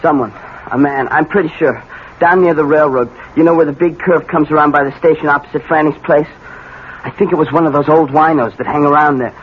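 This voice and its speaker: An actor playing a frightened, guilt-ridden young American man in a radio drama, speaking anxiously and haltingly. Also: a little high, steady tone, natural voice. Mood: panicked, guilty. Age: young adult. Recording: tinny, noisy vintage radio recording.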